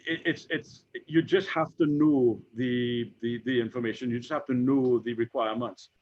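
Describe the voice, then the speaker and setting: A Trinidadian man in his fifties, an insurance executive speaking on a panel, who talks haltingly with many repeated words and fillers. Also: medium pitch, steady tone. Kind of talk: conversational panel discussion. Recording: dull lo-fi recording.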